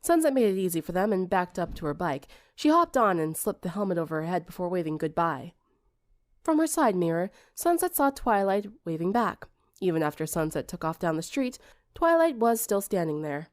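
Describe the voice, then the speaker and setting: A woman's voice: lowish voice, sing-song, brisk, no echo, studio quality. A woman reading scripted fiction as an audiobook narrator.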